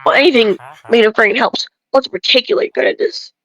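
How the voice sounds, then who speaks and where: A woman's voice: fairly high pitch, fast, a bit bright, no echo, low-quality recording. A woman reading aloud as an opinionated, folksy male bread enthusiast who rambles and grumbles through game dialogue. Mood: grumbling, rambling.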